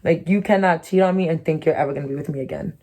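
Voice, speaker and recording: A young American woman from Connecticut talks straight to her viewers in a loose storytime. Her voice sits slightly low, with her own hard-to-place accent. She is blunt and profane, and her talk is strung together with "like" and "you know". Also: quick pace, warm tone. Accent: her own distinctive American accent. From Connecticut, USA. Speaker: YouTuber. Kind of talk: candid storytime monologue.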